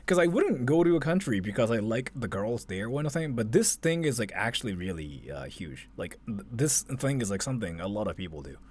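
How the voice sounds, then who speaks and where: A man's voice: lowish voice, big pitch swings, brisk, hardly any pauses, a little mellow, husky. A man giving casual, off-the-cuff reaction commentary as a livestream host, rambling conversationally and leaning on filler words like "like".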